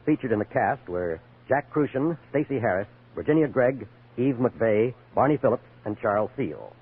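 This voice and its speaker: Animated American radio announcer, male, muffled. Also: medium pitch, normal speed, husky. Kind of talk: 1950s radio announcing, scripted credits. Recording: muffled old radio broadcast, music behind.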